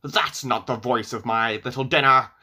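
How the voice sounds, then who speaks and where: A man's voice: mid-range voice, steady tone, moderate pace, bright, forceful tone. Man playing a screeching, furious old witch. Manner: screaming, shrieking, demanding. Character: Baba Yaga, cruel enraged old witch.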